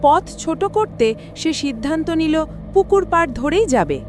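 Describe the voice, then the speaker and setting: A woman's voice: high voice, animated, a little slow, noisy background. A woman narrator reading a scripted horror story.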